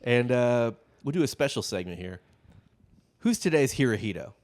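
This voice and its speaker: A man's voice: mid-range voice, expressive, moderate pace, a little mellow. A man co-hosting a casual comedic history podcast, loose and profane, laughing easily as he tells the story. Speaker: podcast co-host telling the story.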